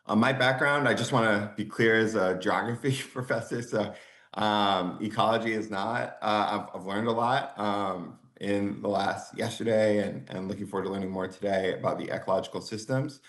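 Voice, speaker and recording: A man with a low voice gives a talk over a video call, and his words ring around an echoey room. He speaks casually, filling pauses with ums and restarting his sentences. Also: fairly even intonation, a bit bright. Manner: casual, lots of fillers and restarts. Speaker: geography professor. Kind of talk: conference presentation.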